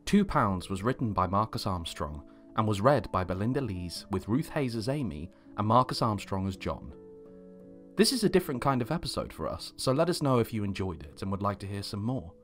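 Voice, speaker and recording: A man with a low voice reads scripted podcast credits as the announcer. Music plays softly under him. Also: big pitch swings, a little fast.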